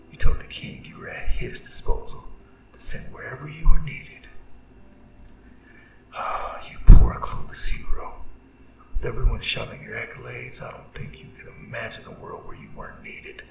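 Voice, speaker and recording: A man voicing a seductive, gloating Demon King in an ASMR roleplay, breathy and mostly whispered, taunting and intimate with theatrical drawn-out phrasing. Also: medium pitch. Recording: roomy distant echo, music underneath. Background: music.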